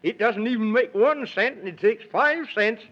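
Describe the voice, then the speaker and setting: An actor playing a fussy, excitable, blustering Man in the Moon in a 1930s children's radio serial. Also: high-pitched, moderate pace. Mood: huffy. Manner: blustering, exclaims in quaint mild oaths. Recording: dull 1930s radio recording, music behind.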